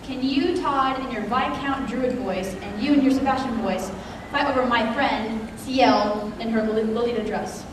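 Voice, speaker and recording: A woman's voice: on the high side, normal speed, background noise. A woman fan asking panelists a question from the audience, heard from far off in a large hall. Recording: echoey hall from far off, crowd.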